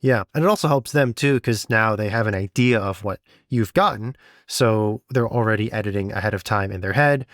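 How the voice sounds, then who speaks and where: A man's voice: on the low side, brisk, few pauses, no echo, studio quality. A man hosting a podcast, explaining and giving advice in an informal, conversational way. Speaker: podcast host and audio drama sound designer. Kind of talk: casual podcast talk, explaining and advising.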